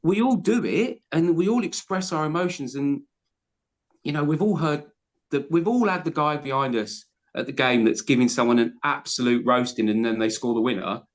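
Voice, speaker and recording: A man, in the middle of a fan podcast chat. He talks at a mid pitch in long, heartfelt runs. He often stops and restarts his sentences as he builds his point. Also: normal speed. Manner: passionate, rambling, self-correcting restarts. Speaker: podcast co-host. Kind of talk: casual football podcast chat.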